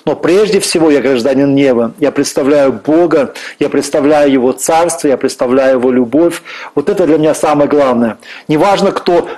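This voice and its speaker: A middle-aged man from Russia with a somewhat higher voice, talking on a church stage in a fervent, preacher-like way. He quotes scripture and speaks with earnest conviction. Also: moderate pace, few pauses, darkish tone, rough recording. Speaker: guest minister. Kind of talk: earnest faith-based interview talk.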